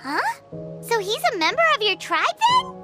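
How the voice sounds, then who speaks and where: A woman voicing a small, chirpy floating companion creature in a video game, curious and quick to chime in. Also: very high voice, wildly swooping pitch, heavily acted. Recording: game audio under music.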